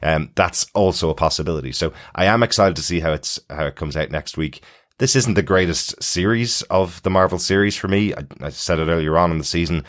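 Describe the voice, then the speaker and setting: A man's voice: sits low, a little fast. A man hosting a podcast in a casual, chatty, conversational way. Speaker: podcast host. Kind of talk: casual TV discussion, reading listener email.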